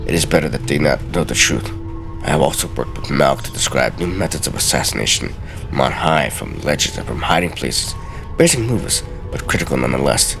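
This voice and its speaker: A man with a gravelly voice doing a theatrical, deliberate dramatic reading as a let's play host, sometimes stumbling over words. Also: a bass voice, animated. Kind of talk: dramatic reading of video game journal pages. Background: music.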